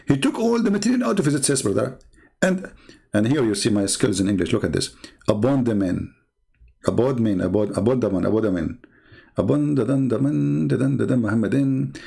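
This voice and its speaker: A man with an Arabic accent hosts a live stream, reading passages aloud and picking them apart. His voice is gravelly and sits at a middle pitch, and his delivery is sarcastic and needling throughout. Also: darkish tone. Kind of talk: mocking commentary while reading religious texts.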